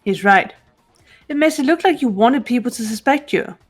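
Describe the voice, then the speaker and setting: A composed young woman investigator, played by a woman in a fan-voiced game drama. She presses steadily with calm, measured questions, her pitch a little high. Soft music sits behind her. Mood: probing.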